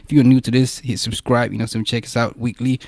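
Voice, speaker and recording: Man, podcast co-host, rapid delivery, slangy casual chat, hype-man interjections, dry close sound. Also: medium pitch, little pitch movement, darkish tone, no room sound.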